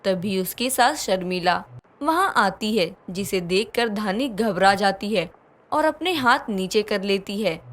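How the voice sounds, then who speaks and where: A woman's voice: medium pitch, lively intonation, unhurried, a bit bright. A woman reading scripted moral-story narration as the storyteller. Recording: music behind the voice.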